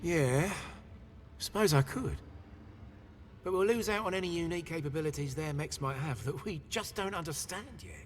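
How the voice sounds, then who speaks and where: Casting: male actor as practical ship's tech in a game cutscene. Higher male voice, very animated intonation, casual. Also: normal speed, a little hiss or hum, lightly acted. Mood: matter-of-fact. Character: practical, tech-savvy male mercenary mechanic. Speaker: video game character. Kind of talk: cinematic game voice acting.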